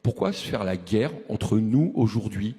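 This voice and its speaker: Man, forties, rousing activist speech, rhetorical questions, mellow tone, reverberant hall. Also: on the low side, lively intonation, measured pace. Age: 40s. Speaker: activist speaker. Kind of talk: impassioned address to a movement.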